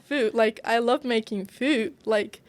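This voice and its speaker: A woman's voice: on the high side, measured pace. A young woman, born in Brazil and raised in Japan, talks earnestly as a guest student in a casual interview, with many "like" fillers. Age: young adult. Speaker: guest, culinary arts student.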